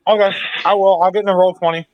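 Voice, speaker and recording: Easygoing guy on a game call, talks fast, lightish voice, casual. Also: sits high, little pitch movement, muffled recording. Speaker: tabletop game player. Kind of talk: casual chat. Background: faint music.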